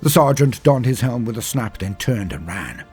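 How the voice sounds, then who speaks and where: A man's voice: mid-range voice, big pitch swings, moderate pace, a little mellow, husky, soft background music. A man narrating a scripted story in a dramatic, theatrical and emphatic manner. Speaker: storyteller and lore channel host.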